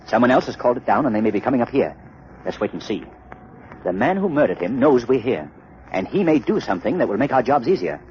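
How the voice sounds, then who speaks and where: An old, muffled radio recording with some noise carries a man acting a composed, commanding gentleman sleuth. His crisp, theatrical voice sweeps widely in pitch, with an air of authority, in 1930s mystery-serial style. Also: very animated, fast talker, close to an everyday voice. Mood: urgent, wary.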